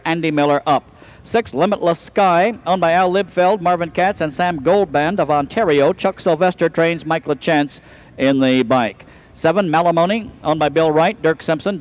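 A man announcing a harness race, introducing the field and then calling the running in a rapid rhythmic style that builds toward the finish. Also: fairly high pitch, dull audio.

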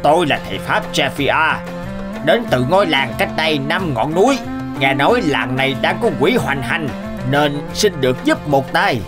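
A confident sorcerer speaks slowly and deliberately, as though casting spells, voiced by a man. His voice sits a little high and moves a lot in pitch. A light music bed runs behind him. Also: big pitch swings. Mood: assured. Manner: slow, confident, incanting. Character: self-assured male sorcerer chanting spells.

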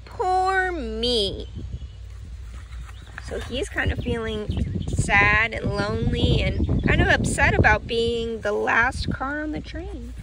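A woman reading a children's picture book aloud in a teacherly way, explaining and asking questions. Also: a little high. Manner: teacherly, slow and clearly paced, asking questions. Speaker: read-aloud storyteller for children. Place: outdoors on grass. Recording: outdoor ambient noise behind voice.

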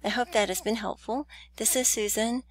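A woman's voice: on the high side, fairly even intonation, normal speed. A woman narrating a slide lecture on essay writing as an instructor, chatty with light laughs and fillers.

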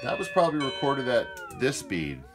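A man's voice: mid-range voice, huge pitch swings, normal speed. A man talking casually and matter-of-factly as he gives an unscripted product demonstration. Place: indoors, off camera beside a tape deck. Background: music.

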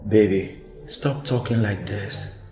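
A man's voice: fairly low pitch, slow pace. A man playing a begging, remorseful boyfriend. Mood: pleading. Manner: pleading, apologetic. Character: remorseful man begging his partner's forgiveness. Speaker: actor in a relationship skit. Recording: echoey room with faint music.

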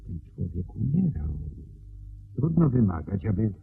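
A polite, evasive male secretary in a radio play. His voice is gravelly and moves up and down freely as he chooses words carefully. It comes through a narrow, hissy old-radio sound. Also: lowish voice, very animated, measured pace, full character voice. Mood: explaining, guarded. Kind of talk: Polish radio play acting.

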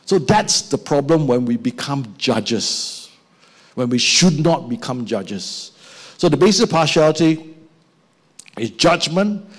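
A man's voice: mid-range voice, slow pace, slightly rough. An older man with a Malaysian English accent preaching a sermon on a church stage into a handheld mic, acting out little scenes as he goes. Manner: animated, acts out little scenes with put-on voices. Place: church stage with band instruments.

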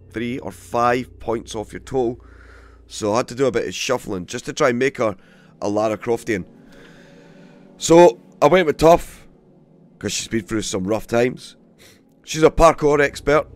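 A man with a cold, hoarse and gravelly, casually commentating a game he plays and swearing freely. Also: mid-range voice. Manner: swears freely, rambling and chatty. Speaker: let's play host.

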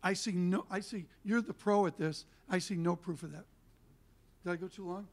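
Older guy on a panel stage, punchy and animated, high voice, handheld mic. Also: moderate pace. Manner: animated, emphatic, gesturing. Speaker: panelist, TV and media figure. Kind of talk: conference panel talk. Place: conference stage, indoors.

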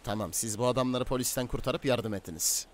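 A man's voice: medium pitch, fast talker, lightly acted. Man playing a stern, menacing crime boss. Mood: probing, approving. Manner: domineering, interrogating, issuing orders and threats. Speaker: gang boss in an online roleplay game. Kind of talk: improvised game roleplay dialogue.

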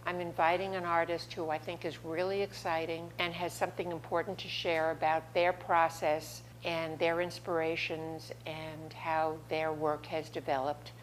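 A woman's voice: lowish voice, a little hiss or hum, rough recording. Woman hosting an art salon. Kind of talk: introducing a guest speaker.

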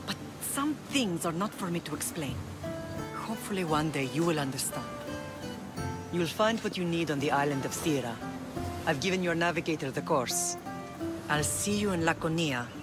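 A woman playing a solemn, measured middle-aged Spartan mother in a video game, warm toward her son. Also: deep voice, lively intonation. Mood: tender. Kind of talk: dramatic video game acting. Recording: loud music behind.

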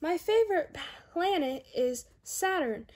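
A woman's voice: very high voice, lively intonation, measured pace, darkish tone. A young girl voicing a chatty, eager five-year-old pig girl from a storybook. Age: child.

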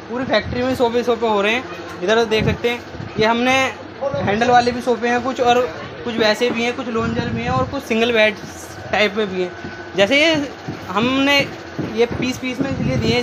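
A young man from India gives an informal, halting sales walkthrough as a furniture factory salesman, repeating himself as he goes. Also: very high voice. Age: young adult. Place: busy furniture factory workshop, indoors. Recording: noisy workshop, phone camera at distance.

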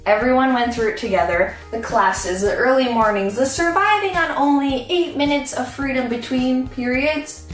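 A woman's voice: sits high, moderate pace, faint music behind. Young woman doing a grad speech, jokey and upbeat, sounds far off in a roomy echoey room. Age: young adult. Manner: playful, upbeat, with comic asides. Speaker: graduating student speaker. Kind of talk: prepared commencement speech. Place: bedroom at home, indoors. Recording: roomy echo, mic at a distance.

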